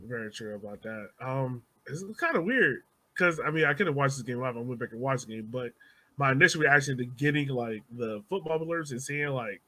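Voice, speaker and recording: Man with mid-pitched voice hosting soccer chat. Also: lively intonation, fairly quick, sharp tone, rough recording. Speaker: soccer podcast host leading discussion. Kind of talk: casual sports chat.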